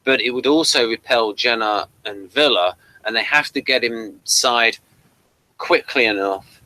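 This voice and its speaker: Bright-voiced British man chatting. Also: mid-range voice, measured pace, rough recording. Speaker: podcast co-host. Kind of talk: casual review discussion.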